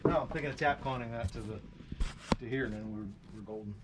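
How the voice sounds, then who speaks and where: A man's voice: mid-range voice, fast. A middle-aged man, a tradesman, explains his work in a casual, plain-spoken, easygoing way. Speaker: tradesman, carpenter on a repair job. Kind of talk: casual hands-on explaining while working. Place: job site in an old building. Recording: clattery, noisy job-site camcorder audio.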